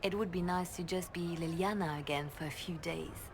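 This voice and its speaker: A woman playing a poised, gentle spymaster turned high priestess in a video game, speaking reflectively. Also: steady tone, moderate pace, noisy background, close to an everyday voice. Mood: wistful. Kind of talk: video game character dialogue.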